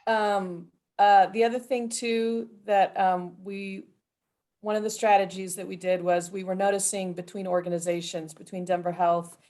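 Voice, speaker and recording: A woman's voice: mid-range voice, flat delivery, moderate pace, mellow. A woman on a panel speaking in a thoughtful way, hedging with fillers as she talks. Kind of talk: panel discussion.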